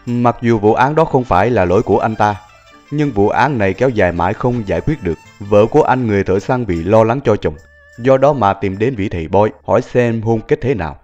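Low-pitched male story narrator. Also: animated, brisk, darkish tone. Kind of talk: scripted storytelling narration for animated film. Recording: muffled audio under music.

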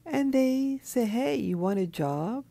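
A woman who has just woken up, talking in a soft, hoarse, half-whispered voice from her bed, slow and halting, with a clip-on mic. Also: on the high side, lively intonation, no room sound. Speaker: channel host. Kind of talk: early morning monologue. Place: in bed at night.

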